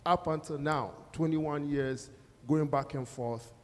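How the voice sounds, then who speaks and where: A man's voice: fairly high pitch, steady tone, slow, darkish tone. A man from Ghana speaking as a panelist, telling stories earnestly. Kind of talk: panel discussion. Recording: long hall reverb, faint noise.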